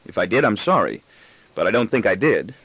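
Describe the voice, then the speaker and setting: A man's voice: fairly low pitch, very animated, fairly quick, fairly bright tone, slightly rough, dry recording, exaggerated voice, rough recording. A man playing an exasperated, sardonic father with firm, wry retorts in an audiobook story. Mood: reassuring. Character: exasperated, sardonic father sparring with his son.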